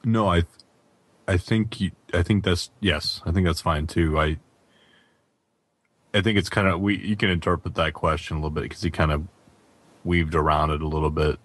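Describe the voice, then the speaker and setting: Very deep, even-toned male podcast host. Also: normal speed, pauses often, no echo. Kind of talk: casual gaming podcast discussion.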